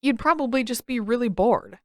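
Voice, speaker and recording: A woman's voice: a little high, animated, fairly quick, darkish tone, no room sound, studio quality, natural voice. A woman voicing a vain, defensive teenage boy in an audiobook story, casual and exasperated in manner. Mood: evasive, defensive.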